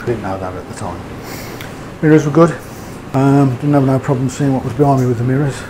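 A man's voice: mid-range voice, a little fast, background noise. A man giving a casual, rambling motorbike review, with fillers and hesitations. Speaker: motovlogger.

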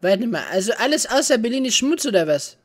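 A woman's voice: a little high, sing-song, brisk, husky. Young female streamer, prank caller, put-on broken German with a fake accent, desk mic at home. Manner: put-on broken German with a mock foreign accent, ends lines with "ja?". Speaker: streamer prank-calling strangers. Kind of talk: prank chat calls. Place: small room at home with coloured lights. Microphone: studio mic and headset at a desk.

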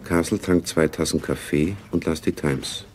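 A man narrating a scripted radio spy drama in a composed, gravelly voice. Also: rumbling low, moderate pace. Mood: measured. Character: composed male narrator of a Cold War spy story.

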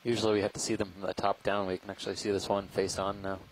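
A man giving live scientific commentary on a deep-sea dive. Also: little pitch movement, very fast, poor audio. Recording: faint background noise.

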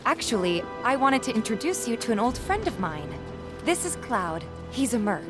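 A woman's voice: fairly high pitch, very animated, normal speed. An actress playing a kind, upbeat and protective young woman from the slums in a video game, with an American accent and music underneath. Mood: friendly, encouraging. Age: young adult. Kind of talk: video game cutscene acting.

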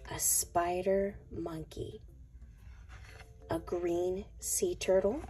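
A woman reading a picture book aloud to young children in a deliberate, sing-song way. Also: lowish voice, dark tone. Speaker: children's read-aloud narrator.

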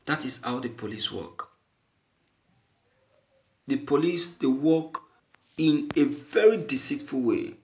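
A man giving opinionated news commentary as an online commentator, halting and deliberate, repeating phrases emphatically for stress. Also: fairly high pitch.

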